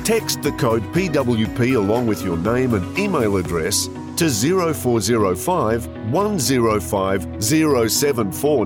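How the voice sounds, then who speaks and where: Man, ad announcer, lively swooping voice, polished sales read, music playing behind. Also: mid-range voice. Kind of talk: scripted promo read. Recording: voice over a music bed.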